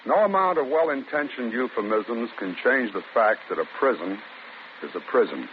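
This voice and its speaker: A man introducing a radio thriller, grave and measured in a scripted 1940s manner. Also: mid-range voice, animated. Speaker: radio drama host. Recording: tinny old radio broadcast with hiss.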